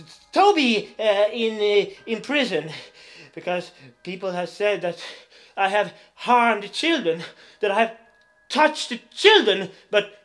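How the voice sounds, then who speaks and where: A man's voice: sits high, fairly bright tone. A man playing an aging circus clown in a dramatic monologue, nervous and eager, with a heavy stammer and frequent nervous giggling. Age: older.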